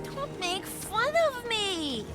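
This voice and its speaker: A woman playing a childlike, insecure and petulant goddess in a video game, speaking in halting, pleading phrases. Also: very high voice, big pitch swings, heavily acted. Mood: distraught, tearful. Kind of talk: video game cutscene acting. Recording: game music behind the voice.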